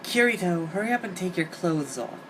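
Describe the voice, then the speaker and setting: Bossy young anime heroine, performed by a male reader, higher-pitched, authoritative, noisy home recording. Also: lively intonation, normal speed, far from the mic. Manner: authoritative, demanding. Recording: hobby voice-call recording, clear background noise.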